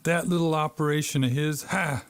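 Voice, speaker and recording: A man acts out an arrogant mob boss from a noir radio play. His voice sits fairly high for a man. He sneers and threatens in old-time tough-guy slang. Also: steady tone, normal speed, clean tone, lightly acted. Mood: sneering. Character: menacing, arrogant male Chicago gangster boss. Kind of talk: 1950s noir radio drama acting.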